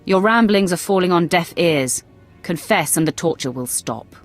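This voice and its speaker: A young dark-side apprentice in a video game with a notably low voice. She is cool and imperious, issuing threats with sardonic calm. Faint music sits behind her. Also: normal speed. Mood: cold, commanding. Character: cold, commanding female Sith acolyte, merciless. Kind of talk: video game cutscene acting.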